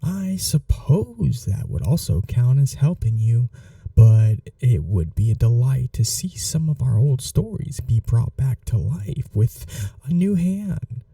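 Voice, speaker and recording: A man playing a gentle, old-world vampire gentleman in an intimate ASMR roleplay, speaking in a polite, soothing, softly formal way. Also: mid-range voice, very animated, slow, vocal fry, no echo, far from the mic, exaggerated voice. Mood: earnest, wistful.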